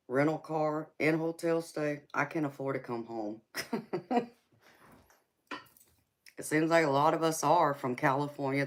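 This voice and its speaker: Slow-talking Southern woman chatting live. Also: very deep voice. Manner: chatty, laughs often, calls viewers baby. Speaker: tarot reader hosting a live stream. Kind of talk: casual live chat with viewers.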